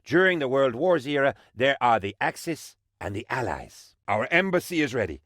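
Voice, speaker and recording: A devoted, fawning male advisor to a tropical strongman in a video game. His medium-pitched voice leaps around with theatrical, cheerful eagerness as he explains things to his leader. The sound is dry and studio-clean, with no room around it. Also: sing-song, moderate pace, a bit bright, dry recording. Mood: eager, rallying. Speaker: tutorial guide in a strategy game.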